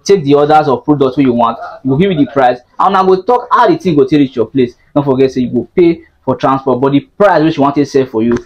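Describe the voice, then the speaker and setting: A man's voice: mid-range voice, fast, few pauses, dull audio, a little hiss or hum. Upbeat Nigerian man touring a showroom. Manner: upbeat salesy patter in Pidgin-mixed English, repeating prices. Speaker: market blogger. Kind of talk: walkthrough store vlog. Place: indoor plumbing showroom.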